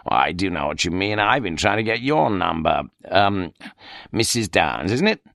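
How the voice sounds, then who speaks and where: A man's voice: deep voice, sing-song, moderate pace, gravelly, fairly bright tone, dry recording, clean studio sound, exaggerated voice. A man playing a curt, irritable chief inspector. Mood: curt, dry. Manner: curt, dryly ironic. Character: irascible, dry middle-aged male chief inspector.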